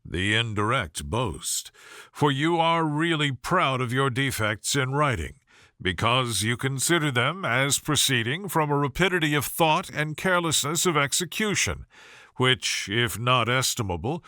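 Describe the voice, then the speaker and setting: Storybook role: male reader as a proud young gentleman, formal and cool, clipped in manner, close dry sound. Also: mid-range voice, lively intonation, a little slow, no room sound. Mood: critical. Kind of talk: audiobook character voice.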